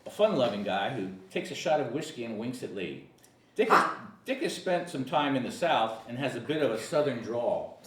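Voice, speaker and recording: Male narrator for a table read, animated delivery, mid-pitched, off-mic with faint hiss. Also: moderate pace, roomy sound, far from the mic, low-quality recording. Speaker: narrator of screenplay directions.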